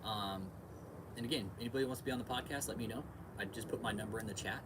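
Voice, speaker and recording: An American man with a fairly low voice hosts a casual livestream. He talks straight to his viewers in loose, slangy bro-talk, repeating himself and swearing freely. There is clear background noise under his voice. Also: fast talker. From California, United States. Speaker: podcast and livestream host.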